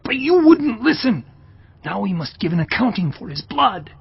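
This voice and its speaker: An actor playing a reproachful eldest brother with a husky, rough voice in a dramatized audio Bible. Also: male voice, sits high, sing-song, normal speed, no room sound, exaggerated voice. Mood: bitter, reproachful. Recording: dull, dry audio.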